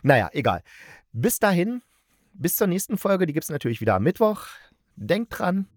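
A man's voice: high voice, sing-song, moderate pace, no room sound. A man hosting a podcast, chatting casually through a review, often searching for words and correcting himself, with a playful streak. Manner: playful, jokey. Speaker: podcast host reviewing radio plays.

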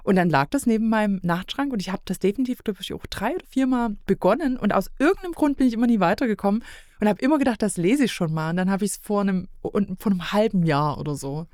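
A woman's voice: medium pitch, expressive, quick pace, darkish tone, no echo, clean studio sound. A woman chatting casually as a podcast guest, telling things in a conversational, anecdotal way.

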